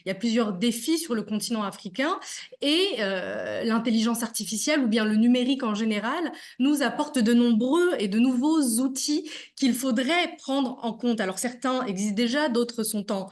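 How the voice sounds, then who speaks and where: A woman's voice: on the high side, moderate pace. A woman who works as an urban development expert, summarizing a panel over a video call in an orderly way. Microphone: video call microphone.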